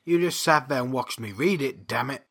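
A man's voice: medium pitch, normal speed, creaky, a bit bright. An actor playing a blunt, pompous male sergeant who runs a spy agency in an audio drama. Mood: brisk, irritated.

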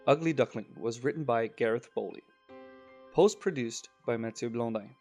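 A man's voice: medium pitch, normal speed, a little mellow. A man reading scripted closing credits as an announcer. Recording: music behind the voice.

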